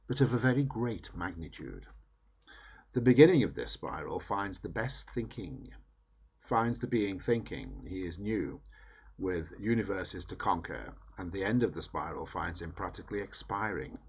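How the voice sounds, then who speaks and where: A man reading a book aloud in measured, emphatic phrases that trail off at the ends of sentences. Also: deep voice, husky, highs cut off. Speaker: reader.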